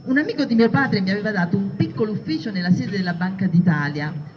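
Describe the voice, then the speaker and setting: A woman's voice: mid-range voice, animated, quick pace, faint music behind. A woman giving a dramatic first-person reading of a written testimony, telling it as a story. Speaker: reader of partisan testimonies. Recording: distant, dull muffled sound.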